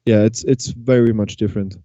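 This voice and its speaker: A man's voice: fairly low pitch, fairly even intonation, a little fast, dark tone, dry recording, highs cut off. A man from Austria whose first language is German, chatting casually in English as an interview guest with an Austrian German accent and lots of restarts. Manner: chatty, frequent restarts and fillers. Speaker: guest musician.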